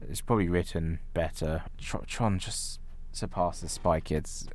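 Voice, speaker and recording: A man's voice: deep voice, a little slow, a little mellow. A man co-hosting a podcast, discussing films casually in a blunt, critical way. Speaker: podcast co-host.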